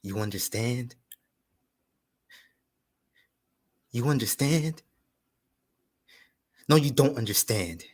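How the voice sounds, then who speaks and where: A grieving young man played by a male actor unloads in a dramatic monologue. His mid-pitched voice is bitter and raw with emotion, halting in heavy pauses and repeated words. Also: a bit bright, close to an everyday voice. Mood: bitter, scornful.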